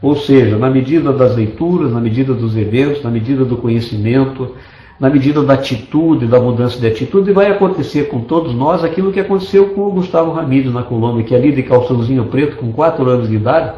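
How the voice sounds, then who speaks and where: A man's voice: mid-range voice, quick pace, echoey room, highs cut off. A man from Brazil giving an online business presentation as a network marketing presenter, persuasive and enthusiastic, stacking lists of points. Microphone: desktop computer mic.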